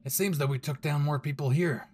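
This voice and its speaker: A man's voice: fairly high pitch, fairly even intonation, a little fast, a little mellow, breathy edge. A man playing a brash, possessive young hero, cocky, swearing and intense, in a dramatic audio roleplay spoken to the listener. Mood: cocky, protective. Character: brash, possessive young male hero, gruff and foul-mouthed.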